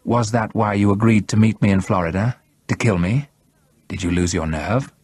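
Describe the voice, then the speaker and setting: A man voicing a defiant male thriller hero in an audiobook, keeping his voice controlled and calm. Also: mid-range voice, normal speed, breathy edge, no echo, voice pushed up. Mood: calm, bitter. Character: defiant male first-person thriller hero, bluffing calmly. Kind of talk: audiobook character acting.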